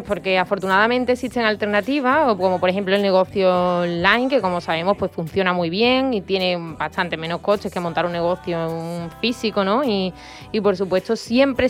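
A woman's voice: medium pitch, fairly quick, soft background music. A woman hosting a radio program, chatting conversationally and often tagging her lines with questions. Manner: warm conversational, frequent "pues" and "¿no?". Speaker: radio host. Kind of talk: radio magazine chat.

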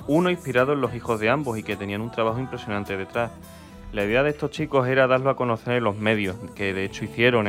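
A man co-hosting a radio show, talking fast and somewhat low in a smooth presenter's delivery. Faint music runs beneath his voice. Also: fairly bright tone. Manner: brisk, scripted-sounding presentation. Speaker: radio host. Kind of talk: radio magazine chat.

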